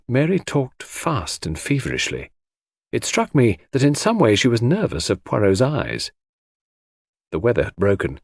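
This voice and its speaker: A man's voice: lowish voice, no room sound. A male narrator reads a first-person mystery story. His intonation moves a lot and his tone has some huskiness. The sound is very dry, with no room around it. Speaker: audiobook narrator.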